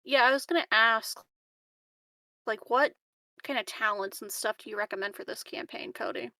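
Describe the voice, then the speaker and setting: A woman's voice: a little high, normal speed, sharp tone, no room sound. A woman chatting casually as a tabletop game player, slightly off the microphone. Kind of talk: casual chat.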